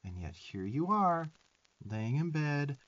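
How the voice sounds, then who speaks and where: A man's voice: fairly high pitch, big pitch swings, slow pace, a bit bright, lo-fi sound, full character voice. A man voicing a sarcastic, bossy but affectionate little demon twink in a profane, emphatic ASMR roleplay. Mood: fierce affection. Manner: sassy, scolding, profane, emphatic.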